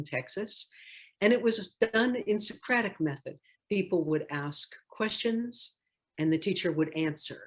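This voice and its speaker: An older woman, a children's author, talking personally in a reflective, conversational way and leaning on key words for emphasis. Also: on the low side. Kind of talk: personal talk introducing a read-aloud.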